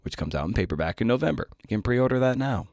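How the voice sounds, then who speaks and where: A man's voice: mid-range voice, expressive, quick pace, darkish tone, no room sound, clean studio sound. A man speaking as a podcast host, introducing and interviewing a guest.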